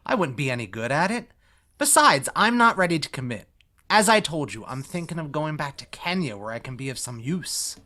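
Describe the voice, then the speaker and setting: A man's voice: a little high, sing-song, a little fast, pushed and bright. A man playing an idealistic, noncommittal young man, casual and hedging, in a musical theatre read-through. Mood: defensive. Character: Bobby, idealistic young man, evasive about commitment. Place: recorded remotely online.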